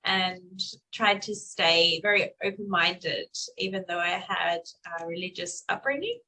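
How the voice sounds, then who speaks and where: A woman's voice: on the low side, a little slow, pushed and bright, poor audio. A young woman from Western Australia, with an accent shaded by years in South Africa, chatting as an interview guest over a video call, laughing often and using lots of fillers. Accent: Australian with South African influence. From Perth, Western Australia. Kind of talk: casual conversational interview.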